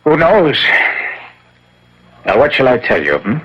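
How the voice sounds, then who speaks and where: Slow, fevered young devil worshipper. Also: male voice, mid-range voice, big pitch swings, breathy edge. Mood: bitter, cynical. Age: young adult. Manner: theatrical, chanting incantations and cynical declarations. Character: bitter, unhinged young man turned devil worshipper. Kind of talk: 1970s radio drama acting. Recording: dull, lightly noisy old radio recording.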